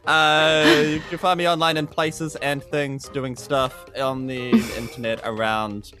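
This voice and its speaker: A man's voice: a little high, level tone, a little slow. A man chatting casually as a podcast cast member, jokey and self-mocking. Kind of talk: casual comic chat. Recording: soft music in the background.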